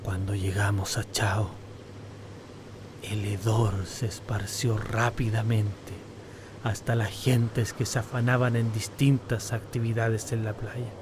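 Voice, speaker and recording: A man playing a shaken steamship captain in a radio drama, solemnly recounting a disaster in measured, literary words. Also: on the low side, flat delivery, background noise. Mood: somber, recounting.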